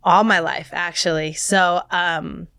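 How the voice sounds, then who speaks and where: A woman's voice: on the low side, steady tone, takes it slowly, bright, forceful tone, clear, resonant tone, no echo, studio quality. A young adult woman from San Diego, telling stories in a chatty, earnest way as a podcast guest. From East County, San Diego, California. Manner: chatty, earnest storytelling with fillers. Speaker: guest, sports agent. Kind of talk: casual interview conversation.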